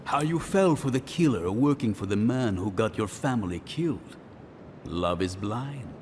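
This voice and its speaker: Suave gangster villain played by an actor, ringing reverb. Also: male voice, animated, normal speed, a little mellow, natural voice. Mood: smug, taunting. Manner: smug, mock-courteous, theatrical. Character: suave, taunting, theatrical Russian gangster villain. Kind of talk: video game voice acting. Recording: long hall reverb, faint rumbling noise.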